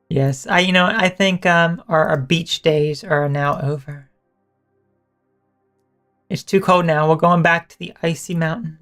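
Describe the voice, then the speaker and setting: A hoarse woman streamer chatting casually and teasingly with her viewers as she wraps up a live stream, often correcting herself mid-sentence. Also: fairly low pitch, slow, pauses often, fairly bright tone, soft background music. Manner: rambling, self-correcting, teasing chat toward viewers.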